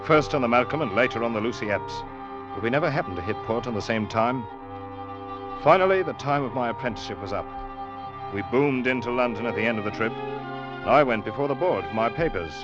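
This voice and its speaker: An actor playing an earnest young seaman who narrates his past in old radio drama style, with dramatic, deliberate pauses. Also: medium pitch, lively intonation, close to an everyday voice. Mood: reflective. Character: young sailor Ned, earnest third mate, recounting his past. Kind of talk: mid-20th-century radio drama acting and narration. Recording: dull vintage radio recording, loud orchestral music.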